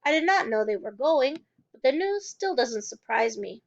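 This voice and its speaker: A woman voicing a gentle, kind young teacher who speaks softly and calmly. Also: high-pitched, normal speed, lo-fi sound, slight background noise. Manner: soft, calm and reassuring.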